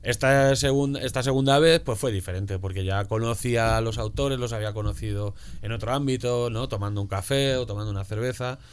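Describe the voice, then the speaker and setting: A man's voice: medium pitch, brisk, few pauses. A man speaking as an interview guest in casual chat, colloquial and often tagging statements with questions. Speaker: guest comics author being interviewed. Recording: clear background noise.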